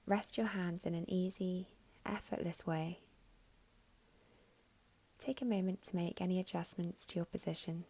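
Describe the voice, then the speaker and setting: A woman with a fairly low voice and a noticeable creak leads a guided meditation. She speaks softly and soothingly, taking her time with long pauses. Her phrases turn breathy and trail toward a whisper. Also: steady tone.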